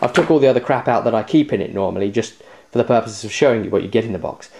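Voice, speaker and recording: A man's voice: lowish voice, very fast, gravelly, noisy background. A man hosting a casual tabletop game unboxing, chatty and jokey with playful theatrical flourishes. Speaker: host of a tabletop game review show. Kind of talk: casual unboxing review to camera.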